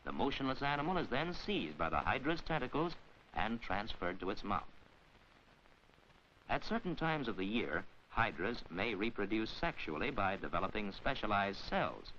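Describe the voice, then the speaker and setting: A man delivering formal scripted narration in the measured, instructional manner of a mid-century educational science film, with careful enunciation. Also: on the low side, big pitch swings, fairly bright tone. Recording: dull, hissy 1940s film soundtrack.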